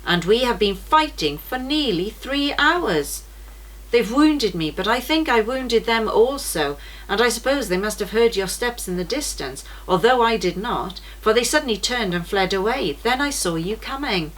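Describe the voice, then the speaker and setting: A woman's voice: fairly high pitch, expressive, normal speed, low-quality recording. A woman voicing a brave, earnest male pilgrim swordsman in a children's storybook. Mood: earnest, proud. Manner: earnest storybook narration of his fight. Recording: noticeable background noise.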